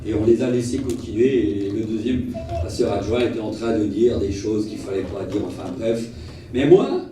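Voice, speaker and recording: A man's voice: lowish voice, moderate pace. Guy preaching in a really echoey church, kinda far from the mic, earnest, tells stories, repeats himself. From Portuguese family origin. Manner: animated, earnest, repeats phrases for emphasis. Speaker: travelling evangelist. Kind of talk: sermon with personal storytelling. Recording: echoey hall, distant mic, faint noise.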